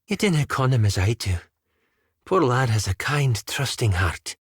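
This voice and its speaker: Male actor as brash elf lass, earthy dialect, teasing, highly animated intonation, dry studio sound, fantasy game. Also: normal speed, breathy edge, no room sound. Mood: teasing, warm. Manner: folksy, teasing dialect speech. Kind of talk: scripted fantasy video game dialogue.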